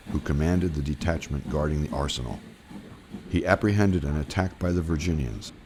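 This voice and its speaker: A man reading a historical first-person memoir as scripted narration, with measured, formal storytelling delivery. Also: rumbling low, a little mellow, slight background noise. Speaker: audiobook narrator. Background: plucked guitar music.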